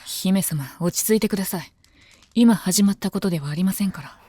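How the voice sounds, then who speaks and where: A woman playing a composed, devoted female knight of common birth in a Japanese voice drama, speaking calmly, politely and softly. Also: medium pitch, fairly quick, no room sound, lightly acted. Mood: resigned, composed. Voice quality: calm, composed. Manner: polite, deferential, soft-spoken. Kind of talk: Japanese voice drama, intimate scripted dialogue.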